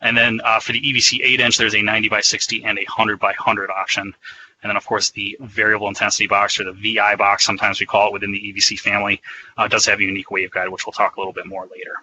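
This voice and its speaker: A man's voice: lowish voice, little pitch movement, fast talker, bright, forceful tone, slight background noise, poor audio. A man giving an explanatory, conversational product presentation in a semi-scripted webinar style.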